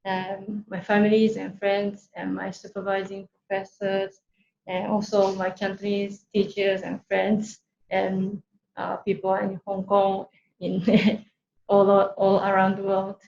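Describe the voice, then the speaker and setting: A woman's voice: medium pitch, completely flat delivery, slow pace, a little mellow, dull audio. A woman from Tokyo with a Japanese accent gives an informal online workshop presentation, hesitantly searching for words in her second language. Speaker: workshop speaker. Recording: roomy echo, clear background noise.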